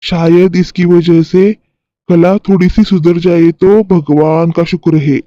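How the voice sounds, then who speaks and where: A man's voice: high voice, flat delivery, slow pace, warm tone. A man voicing a bossy, needling older husband and father-in-law in a cartoon story, on a slightly muffled recording. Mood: confiding. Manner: instructing, needling.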